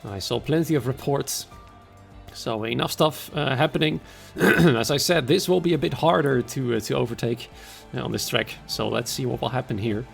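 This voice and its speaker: A man commentating a sim racing league stream in a chatty way, his voice hoarse and raspy after an illness. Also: mid-range voice, normal speed, faint music behind. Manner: chatty, conversational, greets viewers by name. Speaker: race commentator and league host.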